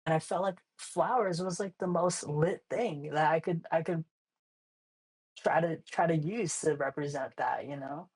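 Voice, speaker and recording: A man's voice: fairly high pitch, a little slow, breathy edge, rough recording. A young man from Hawaii, a guest artist in a casual interview, talking in a laid-back, slangy way full of "like" and "you know".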